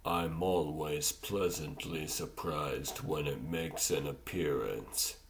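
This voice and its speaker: A man voicing a soulless, dryly courteous occult villain in a comic dub, with measured delivery. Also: a bass voice, steady tone, heavily acted. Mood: dry, composed. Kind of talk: comic dub character acting.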